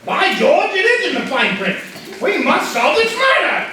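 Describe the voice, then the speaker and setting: A man playing a pompous, wealthy gentleman obsessed with snacks and childishly wheedling, in a comedic staged reading. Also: very high-pitched, huge pitch swings, normal speed, full character voice. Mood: mock-grand. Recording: echoey room, distant mic, faint noise.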